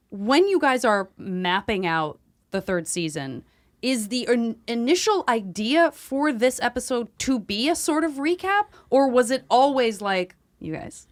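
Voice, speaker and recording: A woman's voice: a little high, no room sound. A woman hosting a casual panel podcast, chatty and enthusiastic in her delivery. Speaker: podcast host.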